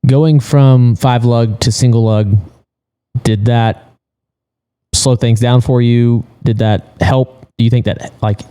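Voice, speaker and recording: A man's voice: medium pitch, steady tone, takes it slowly, dark tone, clean tone. A man who races cars, hosting a casual podcast interview and chatting with a crewman. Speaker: race car driver hosting a podcast interview.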